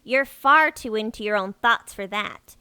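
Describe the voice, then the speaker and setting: Woman as gullible mole girl in a radio play, insistent, bright sharp voice, dry studio sound. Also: medium pitch, a little slow, clean tone, no room sound. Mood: indignant. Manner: earnest, insistent, defensive. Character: earnest, gullible, indignant young female moleperson worker. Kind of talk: audio drama acting.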